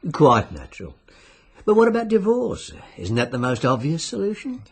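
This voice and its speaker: Genial but sinister British fixer, low husky voice, swooping intonation, insinuating radio drama delivery. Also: male voice, wildly swooping pitch, moderate pace, exaggerated voice. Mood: probing, sly. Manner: insinuating, euphemistic, coolly businesslike. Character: sly, genial bookmaker-like fixer arranging deaths by wager.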